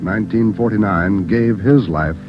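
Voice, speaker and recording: A man's voice: on the low side, moderate pace. A man making solemn, scripted announcements in 1940s radio style. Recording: muffled old radio recording with music.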